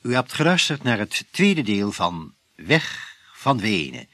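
A male radio announcer making a slow, formal closing announcement. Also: medium pitch, very animated, pushed and bright. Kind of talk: broadcast announcement.

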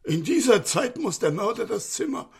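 An older man playing a distraught, deferential elderly manservant in a radio crime comedy, speaking in an agitated, halting way. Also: sits high, sing-song, gravelly, husky, full character voice. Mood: anxious, downcast.

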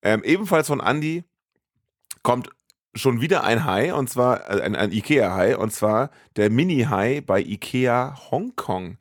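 A man's voice: medium pitch, lively intonation, measured pace, dry recording, studio quality. A man co-hosting a podcast in casual chat, playful and rambling, often interrupting himself. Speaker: podcast co-host.

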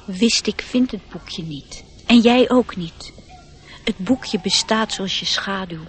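An actress playing a wise, protective elf, earnest and gently admonishing, in a radio play. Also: female voice, mid-range voice, slow pace, lightly acted. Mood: soothing, admonishing. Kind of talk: radio drama acting. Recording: noisy radio drama recording.

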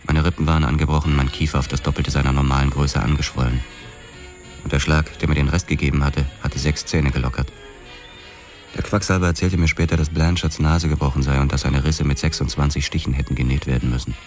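A man's voice: very deep voice, steady tone, quick pace, a little mellow. An actor playing a hard-boiled boxer turned policeman, narrating his past tersely and grimly in a noir radio drama. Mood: dry, reflective. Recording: music behind the narration. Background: dramatic music.

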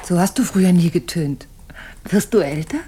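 An actress in a German radio drama playing an elegant, self-assured American widow with a flirtatious, teasing manner. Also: female voice, fairly low pitch, normal speed, dark tone, a little hiss or hum, lightly acted. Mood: teasing, intimate. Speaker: actor.

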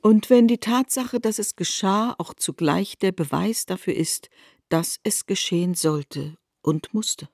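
A woman narrating a literary radio drama, measured and expressive. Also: medium pitch, no room sound, clean studio sound.

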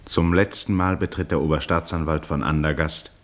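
A man with an extremely deep voice narrates a vintage radio play. His delivery is scripted, grave and precise, with a literary tone. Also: fairly even intonation, normal speed.

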